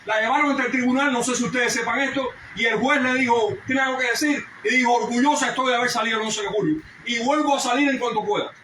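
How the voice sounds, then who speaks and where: Cuban-born guy making a fired-up speech outdoors, high voice, echoey, heard from back a ways. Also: steady tone, quick pace, few pauses, far from the mic, a little hiss or hum. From Cuba. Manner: fervent, rising to shouts. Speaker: speaker at a commemoration. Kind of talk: impassioned patriotic speech. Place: outdoor garden event.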